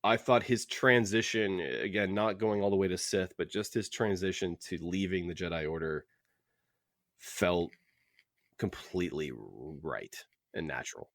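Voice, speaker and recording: A man's voice: deep voice, a little slow, no echo, clean studio sound. A man co-hosting a book podcast in casual discussion, opinionated and circling back over his phrases as he talks. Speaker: podcast co-host.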